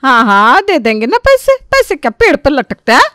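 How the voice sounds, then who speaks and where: Lady doing a bossy older indian mother-in-law for a story, high voice, scolding and sarcastic, super dry recording. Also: huge pitch swings, normal speed, sharp tone, dry recording, exaggerated voice. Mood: irritated, scolding. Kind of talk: dramatised Hindi storytelling.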